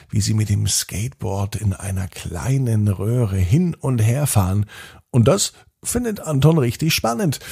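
A man hosting a children's podcast, gently narrating a bedtime story and guiding kids through a stretching routine. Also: medium pitch, vocal fry, husky.

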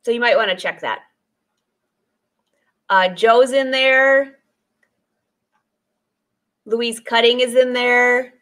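A woman in her forties hosts a casual live stream from her sewing studio, talking to viewers through a desk webcam. Her voice sits fairly high, and she chats along easily, greeting commenters by name and laughing often. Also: fairly even intonation, slow, pauses often, a bit bright. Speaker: sewing teacher and host. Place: sewing studio with dress forms, indoors.

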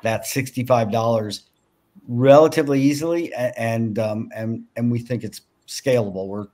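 A man in his sixties speaking on a video call as a farm business founder, pitching and answering investor questions. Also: normal speed, darkish tone. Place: home room with bookshelves.

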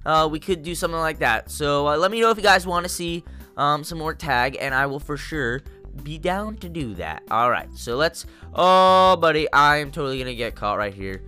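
A young man with a congested, stuffy voice giving excitable, jokey gameplay commentary to his viewers. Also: fairly high pitch, moderate pace, clear, resonant tone, soft background music. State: congested from a cold. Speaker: gaming YouTuber.

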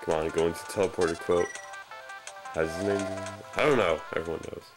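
A man's voice: low voice, fairly even intonation, fairly quick. A man giving casual, improvised video game commentary in a sarcastic, irreverent way, with swearing. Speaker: let's play gamer. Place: very dark room, filming a screen. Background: video game music.

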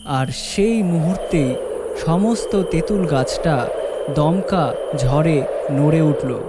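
A man's voice: on the high side, slow, very noisy. A man narrating a scripted horror story as the storyteller.

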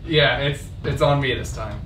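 A man's voice: mid-range voice, normal speed, lightly acted. A young man playing a blunt, grumbling work partner in a casual script read-through. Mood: dry, grumbling. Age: young adult. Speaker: actor at an amateur table read. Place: indoor kitchen table, far camera. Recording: distant camera mic, roomy, noisy.

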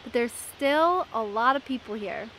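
A young woman vlogging at an outdoor night market, cheerful and relaxed. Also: a little high, measured pace, slight background noise, poor audio. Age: young adult. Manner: cheerful, relaxed commentary to camera. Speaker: travel vlogger. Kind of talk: casual travel vlog. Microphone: camera held at arm's length. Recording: loud crowd behind.

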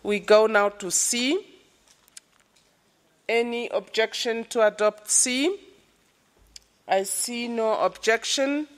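A woman chairing a formal committee session, calling items for adoption and asking for objections. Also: a little high. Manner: slow, procedural, calling for objections. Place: large conference hall. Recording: long reverb of a large hall.

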